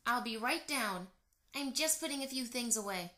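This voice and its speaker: A woman's voice: fairly high pitch, animated, moderate pace, sharp tone. A woman playing a busy, cheerful middle-aged mare mother in an amateur audio drama.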